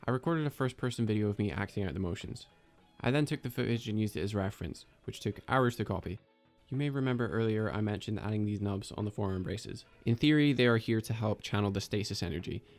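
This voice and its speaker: A man giving a scripted voice-over about his own 3D animation project, in a measured, explanatory and lightly self-deprecating manner. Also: lowish voice, darkish tone, soft background music. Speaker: video creator and 3D animator.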